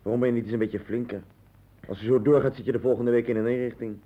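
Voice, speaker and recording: A man's voice: mid-range voice, fairly even intonation, quick pace, muffled recording, close to an everyday voice. Impatient, scornful friend, played by a male actor: mid-range voice, Netherlands accent, curt, dismissive, 1960s radio play style. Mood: irritated. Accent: Netherlands Dutch. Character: impatient, scornful man putting up with his friend. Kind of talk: 1960s Dutch radio drama acting.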